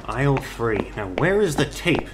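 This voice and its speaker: A man's voice: mid-range voice, very animated, unhurried, low-quality recording. A man playing an obedient henchman robber in an audio drama. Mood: dutiful, muttering.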